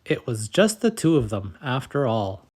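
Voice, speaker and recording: Man reading a children's story, measured and expressive. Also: medium pitch, breathy edge. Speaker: storybook reader and channel host. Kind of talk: scripted children's story narration.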